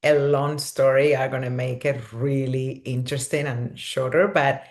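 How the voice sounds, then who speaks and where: A woman from Cuba with a Cuban Spanish accent, a podcast guest telling her story in an expressive, animated way and laughing often. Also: rumbling low, normal speed. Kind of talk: podcast interview.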